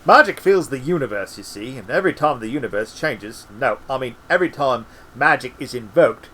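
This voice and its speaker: A man voicing a senior wizard in a storybook, earnest, weary and muddled, halting as he searches for words. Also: medium pitch, lively intonation, bright, forceful tone, a little hiss or hum, low-quality recording. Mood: flustered, earnest.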